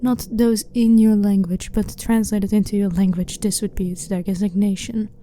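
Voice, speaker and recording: A woman playing a blunt, clinical but harmless alien scientist in an ASMR sci-fi roleplay, speaking in a formal, measured, matter-of-fact way. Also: medium pitch, little pitch movement, mellow, natural voice. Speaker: ASMR voice performer. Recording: clearly noisy ambient background.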